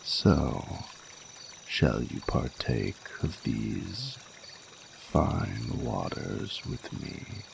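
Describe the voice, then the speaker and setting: Ancient male dragon lover, played by a man: rumbling bottom-register voice, gravelly, regal and flirtatious, formal archaic delivery over trickling water. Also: very animated, background noise, heavily acted. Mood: flirtatious, inviting. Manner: formal archaic phrasing, slow deliberate pauses, seductive. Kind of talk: romantic audio roleplay, intimate monologue to the listener.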